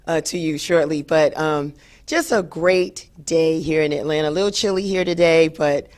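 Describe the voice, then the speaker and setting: A hoarse woman, hosting a talk show in an upbeat, chatty way with many fillers. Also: deep voice, steady tone, moderate pace, a little mellow. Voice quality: raspy. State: hoarse after a late night. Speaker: radio show host. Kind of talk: solo talk show monologue.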